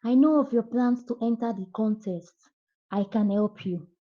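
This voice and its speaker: A woman's voice: on the high side, normal speed, phone-quality sound, natural voice. A woman voicing an earnest, defiant young stepsister in a folktale. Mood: fearful, defiant. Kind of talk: folktale character voice.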